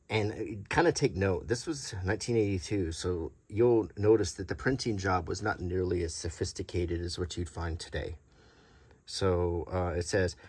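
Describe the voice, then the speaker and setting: A middle-aged man giving a casual show-and-tell as a hobby collector, talking softly in an unhurried, halting way. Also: low-pitched, breathy edge. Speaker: hobby collector presenting a video.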